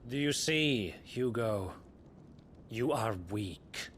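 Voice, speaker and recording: An actor playing a cool, manipulative schemer in a fantasy game, with a measured, condescending, goading delivery. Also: male voice, medium pitch, lively intonation. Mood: calm, coaxing. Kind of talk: dramatic fantasy game acting. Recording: clear background noise under the voice.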